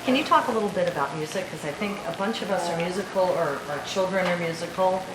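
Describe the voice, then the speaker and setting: A woman's voice: lowish voice, fast. A woman asking friendly questions in a casual group conversation. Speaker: interviewer in group. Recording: echoey room with crowd chatter.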